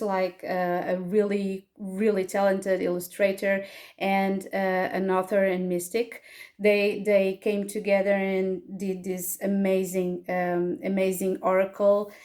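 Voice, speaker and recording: A woman's voice: mid-range voice, darkish tone. A woman from Portugal with a Portuguese accent, speaking English as a second language and hosting a casual pick-a-card tarot reading, hesitant with many fillers and restarts. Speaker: tarot reader and astrologer.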